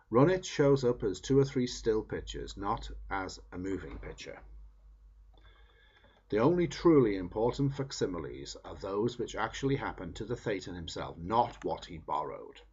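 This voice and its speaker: Low, husky man reading a book aloud. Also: darkish tone, dull audio. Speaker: reader.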